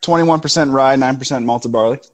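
A man's voice: mid-range voice, fairly quick, slight background noise. A man in his thirties, co-host of a whiskey review show, chatting casually and enthusiastically about a bourbon on a phone video call from outdoors. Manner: relaxed, enthusiastic about flavors. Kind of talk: casual tasting chat. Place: outdoors in a backyard.

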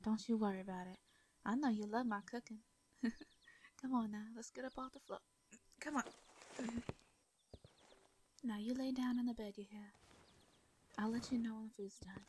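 A woman with a Southern accent voicing a shy, caring hermit farmer woman in a comforting audio roleplay. She speaks softly, stammers and laughs lightly. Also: on the high side, slight background noise.

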